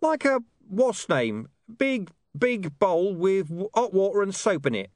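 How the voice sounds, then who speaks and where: A man's voice: high-pitched, big pitch swings, measured pace, dry recording, clean studio sound, voice pushed up. A man voicing a streetwise talking dog who fumbles for words in an audiobook. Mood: wry. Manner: gruff, hesitant, searching for words.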